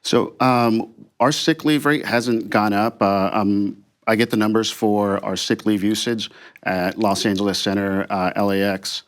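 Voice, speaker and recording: A man speaking as an air traffic controller and union official, giving halting, earnest, unscripted answers in an interview. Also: fairly low pitch, steady tone.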